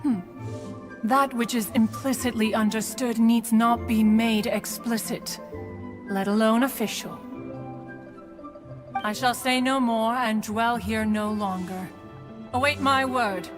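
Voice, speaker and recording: A woman's voice: a little high, fairly even intonation, slow pace, long pauses, music in the background. An actress voicing a stately female crane spirit who speaks in formal, ornate, old-fashioned phrasing. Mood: lofty.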